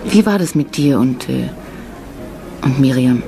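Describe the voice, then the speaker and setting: A woman's voice: very deep voice, expressive, measured pace. Kind young woman, noisy background. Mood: tentative. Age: young adult. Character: kind, curious young actress at a front theatre. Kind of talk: radio drama acting.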